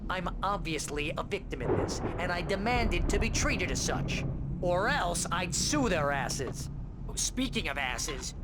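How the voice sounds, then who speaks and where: An actor playing an indignant, chatty comic sidekick who rambles and gripes in a video game. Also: male voice, high-pitched, big pitch swings, unhurried. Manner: rambling, griping. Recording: loud noise behind voice.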